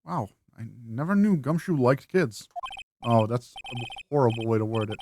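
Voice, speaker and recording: A man's voice: mid-range voice, lively intonation, moderate pace, noisy background, natural voice. A man voicing an earnest young defense lawyer from a video game, wry in his asides and easily flustered. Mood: wry, musing. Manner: dry inner asides, flustered stammers when startled.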